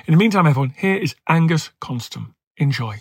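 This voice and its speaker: A man's voice: fairly high pitch, a little fast, slightly rough, no room sound, clean studio sound, faint music behind. A man with a dental lisp, hosting a history podcast and interviewing a guest. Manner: warm thanks, lively questioning. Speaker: podcast host.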